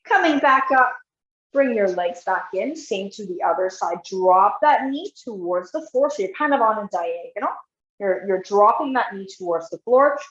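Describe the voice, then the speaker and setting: A woman physiotherapist leading an online exercise class, guiding step by step in an encouraging way, her voice a bit croaky. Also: on the high side, reverberant, dull audio. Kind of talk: live online exercise instruction.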